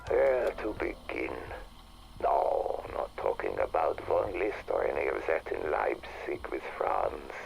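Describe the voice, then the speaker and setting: An actor playing an elderly occult scholar in a game audio log, reminiscing in a halting, theatrical way with a breathy, gravelly voice. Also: male voice, extremely low pitch, huge pitch swings, full character voice, low-quality recording. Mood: wistful, awed. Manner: halting, theatrical, savoring words. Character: elderly occult scholar reminiscing about his boyhood, wonderstruck.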